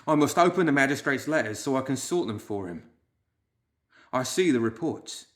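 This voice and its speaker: A man's voice: medium pitch, lively intonation, normal speed, pitched above the performer's own voice, lightly acted. A man doing a whimpering, terrified clerk. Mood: fearful. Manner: whimpering, wailing. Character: frightened nervous male clerk.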